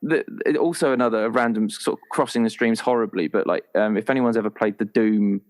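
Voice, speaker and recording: A man's voice: fairly low pitch, a little fast. A man chatting casually as a roleplayer talking through his game character. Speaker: podcast guest, tabletop roleplayer.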